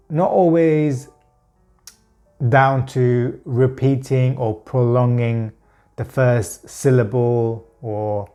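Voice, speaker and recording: Slow, halting man with a stutter. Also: mid-range voice, dark tone. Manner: speaks with frequent stuttering blocks and repeated words; slow, halting delivery with long pauses. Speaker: vlogger talking about his stutter. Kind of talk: personal talk to camera.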